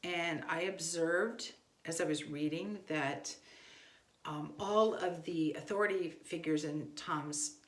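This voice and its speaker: Woman with a lowish voice chatting through homeschool tips, roomy echo, animated when giving examples. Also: slow. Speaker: homeschooling parent giving advice. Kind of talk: casual instructional talk. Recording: echoey room.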